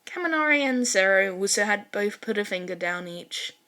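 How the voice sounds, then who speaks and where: A woman's voice: mid-range voice, expressive, normal speed, pushed and bright. A woman reading a fan fiction story aloud as scripted narration.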